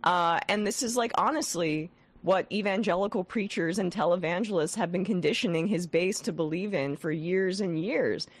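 A woman hosting a political talk show. She has a head cold with some vocal fry and talks in a scathing, animated way with frequent restarts. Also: on the low side, moderate pace, hardly any pauses, no echo. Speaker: host.

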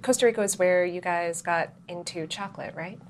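A woman's voice: fairly low pitch, a little fast. A woman conducting a casual interview in an encouraging manner. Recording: background noise with hum.

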